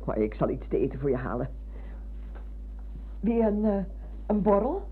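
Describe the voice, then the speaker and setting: A woman playing a chatty, motherly, bossy old schoolmistress in a radio drama, with a husky voice and a habit of repeating herself. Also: lowish voice, big pitch swings, moderate pace, exaggerated voice. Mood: playfully scolding. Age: older. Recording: muffled, narrow-band old radio recording.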